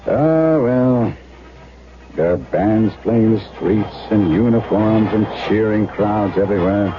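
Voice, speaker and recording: An actor playing a weary, principled older German doctor, gentle and skeptical, in a 1970s radio drama. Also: male voice, fairly low pitch, slow pace, noisy background. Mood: reflective narrating. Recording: muffled old radio broadcast, music behind.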